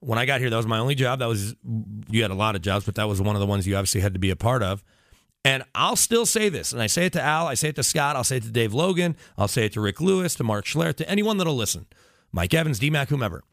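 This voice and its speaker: A man's voice: medium pitch, big pitch swings, quick pace, fairly bright tone, no room sound. Talkative American man in a dry podcast recording. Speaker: guest, sports radio broadcaster. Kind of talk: casual podcast chat.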